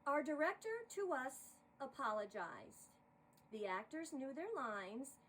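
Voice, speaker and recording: A woman with a high voice reads rhyming verse as a dramatic storyteller. She takes her time and swings her pitch widely. The mic sounds a little distant, with faint noise. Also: takes it slowly. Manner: dramatic, expressive. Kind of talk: theatrical verse narration.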